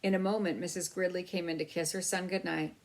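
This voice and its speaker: A woman reading a children's book aloud as an expressive storyteller. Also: lowish voice, fast talker.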